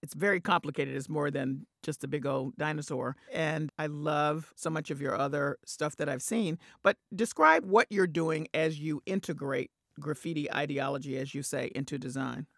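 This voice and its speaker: A man's voice: a little high, moderate pace, creaky, few pauses, fairly bright tone, no room sound, studio quality. A man hosting an interview, introducing guests and praising their work with enthusiasm. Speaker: interview host.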